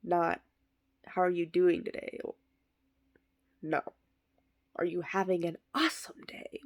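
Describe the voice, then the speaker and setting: A woman voicing a bitter, sardonic middle-aged male horse actor, delivering a comic eulogy monologue in a deadpan, wry way. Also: lowish voice, slow. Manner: deadpan, self-deprecating, wry.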